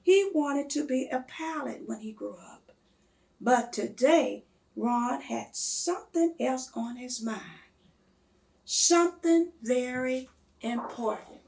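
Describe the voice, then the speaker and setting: Slow, high-voiced woman reading a storybook. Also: creaky, darkish tone. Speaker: guest reader reading a story aloud. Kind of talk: expressive children's storybook read-aloud.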